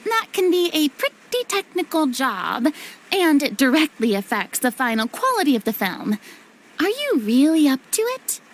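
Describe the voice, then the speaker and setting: A woman playing a theatrical, easily flustered young lady in a video game, with an expressive manner. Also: sits high, moderate pace, slight background noise. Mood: questioning. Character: dramatic young woman, former idol, flustered, theatrical, sweet-toothed. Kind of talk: scripted video game dialogue. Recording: music behind the voice.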